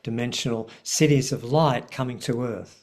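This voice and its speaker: Measured, earnest man on spiritual healing. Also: mid-range voice, a little mellow. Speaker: spiritual teacher, guest. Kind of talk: casual spiritual talk.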